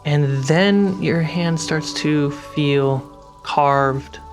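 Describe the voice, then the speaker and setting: Slow game master describing fantasy scenes bit by bit, music and rain behind. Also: mid-range voice. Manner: halting, descriptive phrasing. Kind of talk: narrating a tabletop fantasy game. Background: music, rain effects.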